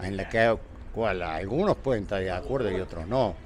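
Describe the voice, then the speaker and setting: A retired man in his sixties with an Argentine accent, answering a street interview with halting restarts and fillers. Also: sits low, a crowd in the background. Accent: Córdoba Argentine Spanish. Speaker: retired provincial employee. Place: city street outdoors.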